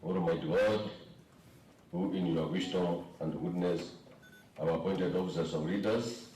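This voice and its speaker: A man's voice: low-pitched, a little slow, warm tone. A man with a Kenyan accent reciting a formal prayer before an assembly. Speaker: official reading the assembly's opening prayer. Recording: roomy, distant microphone, faint background noise.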